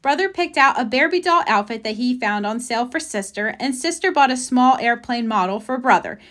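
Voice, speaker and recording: A woman's voice: a little high, expressive, a little fast, fairly bright tone. A woman reading a children's picture book aloud as a storybook narrator. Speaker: storybook reader.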